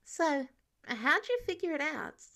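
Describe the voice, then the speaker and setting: A cheeky teenage boy superhero, acted out by a woman reader. The pitch is fairly high and swings around a lot. The delivery is sassy and indignant, made for storybook dialogue. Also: very animated, fairly bright tone, full character voice. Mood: sassy, impatient. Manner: sassy, indignant, quick to retort.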